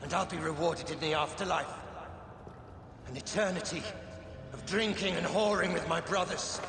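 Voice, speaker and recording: A man's voice: fairly high pitch, normal speed, background noise, natural voice. An actor playing a boastful, defiant male villain of a secret order in a game cutscene. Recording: echoey game cutscene audio with crowd.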